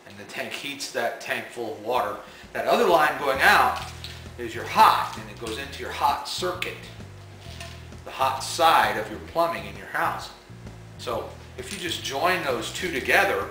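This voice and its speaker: A man's voice: mid-range voice, pushed and bright, a little hiss or hum. A middle-aged man giving a patient, somewhat repetitive technical explanation to camera in a small utility room. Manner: patient explaining, repeats himself. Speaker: company technical presenter. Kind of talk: instructional talk to camera. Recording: echoey room with faint music.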